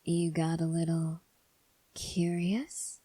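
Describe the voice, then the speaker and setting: A woman playing a calm, probing female vampire in an ASMR roleplay, speaking softly and breathily with deliberate pauses. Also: lowish voice, no room sound. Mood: teasing, curious. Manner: soft, breathy, measured delivery with deliberate pauses. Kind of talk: ASMR roleplay addressed to the listener.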